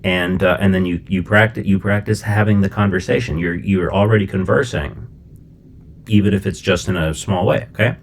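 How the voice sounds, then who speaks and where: A middle-aged man from Chicago explaining a language-learning method in a relaxed, conversational way, with plenty of fillers and check-ins. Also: low voice, moderate pace, a little hiss or hum. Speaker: language teacher. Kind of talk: casual explanatory lesson.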